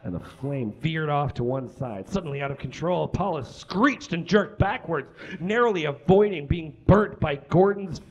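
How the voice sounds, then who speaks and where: Animated, higher-pitched man reading comically. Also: moderate pace, lo-fi sound. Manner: dramatic, exaggerated delivery. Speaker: live comedy reader. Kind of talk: theatrical comic reading of a story aloud. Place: small indoor stage with curtains and brick.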